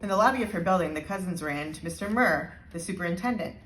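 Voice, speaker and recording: Expressive woman storyteller in an echoey room. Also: fairly low pitch. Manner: deliberate, expressive emphasis. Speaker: storybook reader. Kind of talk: expressive scripted children's story narration. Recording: roomy, echoey sound.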